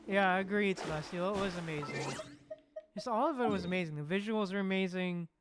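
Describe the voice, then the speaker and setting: A woman's voice: mid-range voice, a little fast, noisy background. A woman reacting casually to a game on a live stream, using plenty of slang. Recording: game music behind the voice.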